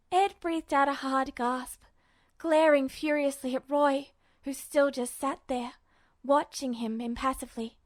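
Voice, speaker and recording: A woman's voice: high-pitched, no echo, clean studio sound. A woman narrating fiction in a dramatic, emotive style, like an audiobook reader. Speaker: podfic reader.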